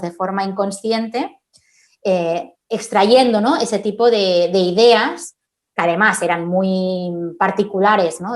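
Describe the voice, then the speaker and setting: A woman forensic linguist from Spain, answering questions at a conference in an explanatory way, often tagging her sentences and filling pauses. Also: medium pitch. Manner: explanatory, frequent "¿no?" tags and "eh" fillers.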